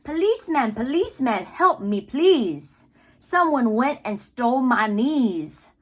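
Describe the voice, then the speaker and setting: A woman reading children's poetry aloud with theatrical, deliberate phrasing and playful emphasis, adding asides as the reader. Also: a little high, sing-song, breathy edge. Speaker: read-aloud host, teacher-like reader.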